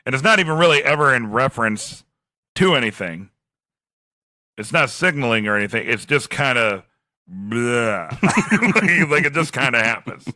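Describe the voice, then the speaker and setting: Deep-voiced man with a slight lisp. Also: animated, moderate pace, sharp tone, no echo, studio quality. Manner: sarcastic, self-mocking, laughs often. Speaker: podcast co-host. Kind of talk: casual chat.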